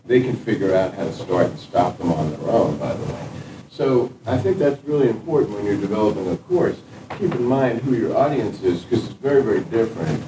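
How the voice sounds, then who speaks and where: A man who teaches, making points from the audience in a workshop discussion with a deliberate, emphatic manner. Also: lowish voice, animated. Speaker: audience member, instructor. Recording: roomy, distant, noisy.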